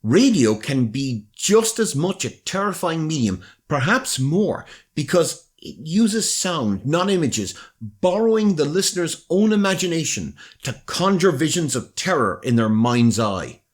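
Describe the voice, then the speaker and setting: A man with an Irish accent presenting scripted documentary narration in a measured, dramatic storytelling manner. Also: fairly high pitch, sing-song. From Ireland.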